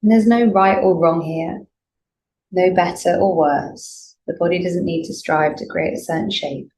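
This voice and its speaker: A woman with a slightly low voice leads a guided relaxation as a yoga nidra teacher. She speaks gently and soothingly, leaving space between her instructions. There is noticeable background noise and ambience in the recording. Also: steady tone, unhurried, rough recording. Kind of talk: guided meditation.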